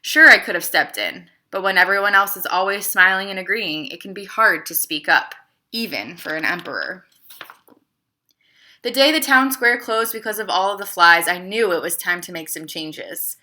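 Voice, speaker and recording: A woman playing a clever, self-justifying male emperor who tells his side of a children's storybook tale in an expressive, confiding way. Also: medium pitch, normal speed. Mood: confiding, exasperated. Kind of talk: children's storybook read-aloud.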